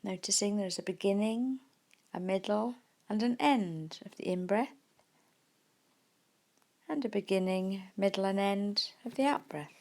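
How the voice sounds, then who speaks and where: Woman guiding meditation, slightly creaky voice. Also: medium pitch. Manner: gentle, unhurried, with soft pauses. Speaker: meditation teacher. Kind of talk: guided mindfulness meditation.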